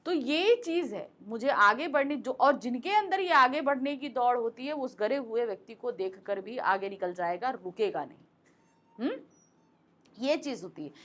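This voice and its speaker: A woman's voice: high voice, fairly bright tone. A woman teacher explaining a school lesson in a conversational way, repeating points and asking rhetorical questions, speaking close to a microphone. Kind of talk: explaining a Hindi lesson to students. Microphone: close mic.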